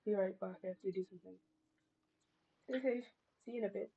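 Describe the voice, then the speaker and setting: A woman's voice: medium pitch, fairly even intonation, fast talker, vocal fry, warm tone, husky. Casual woman streaming, slightly dull audio. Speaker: game livestreamer. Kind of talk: casual livestream commentary over a game.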